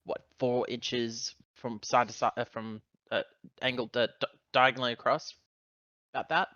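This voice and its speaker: A man's voice: medium pitch, flat delivery, normal speed, sharp tone, no room sound. Male tabletop player, hesitant rambling delivery, casual, dry and slightly dull recording. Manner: rambling, hesitant, self-correcting. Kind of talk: casual game talk, describing character actions.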